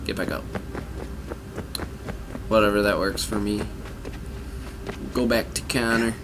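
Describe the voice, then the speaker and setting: A man's voice: on the low side, fairly even intonation, slow, background noise. A man giving casual, laid-back commentary as he plays a video game, muttering half-thoughts to himself. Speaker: gaming video host.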